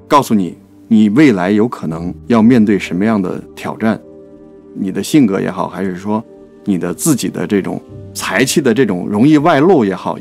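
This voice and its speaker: A man's voice: lowish voice, creaky, darkish tone. A man co-hosting a poetry programme, discussing literature in a reflective, conversational way in short phrases. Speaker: producer and co-host of a poetry programme.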